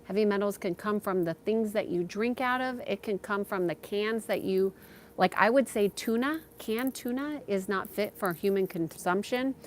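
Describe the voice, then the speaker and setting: A woman host chatting casually on a livestream as a spiritual guide, thinking aloud in a halting way as she takes viewer questions. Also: medium pitch, a little hiss or hum.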